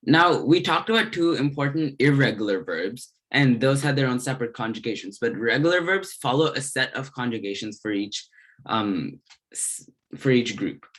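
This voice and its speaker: Casting: male tutor with a medium-pitched voice, explaining a lesson step by step in halting, broken-up phrases. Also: lively intonation, fairly bright tone. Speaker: Spanish grammar tutor. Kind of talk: slide-based language lesson, explanatory.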